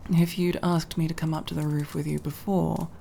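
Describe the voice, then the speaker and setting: Low, gravelly young tomboy played by a woman. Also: little pitch movement, slight background noise. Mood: soft teasing. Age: young adult. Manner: halting, sincere, casually profane, gently teasing. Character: tomboy friend, young woman, guarded but tender, world-weary. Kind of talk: ASMR roleplay, intimate one-sided dialogue.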